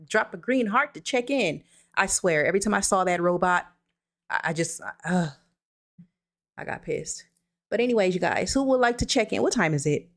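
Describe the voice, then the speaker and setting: A woman with a somewhat low speaking voice hosts a relaxed livestream. She talks loosely and reassuringly to her viewers. She reads their comments aloud into her computer mic. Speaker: livestream host. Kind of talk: casual livestream chat. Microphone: streaming setup with computer mic.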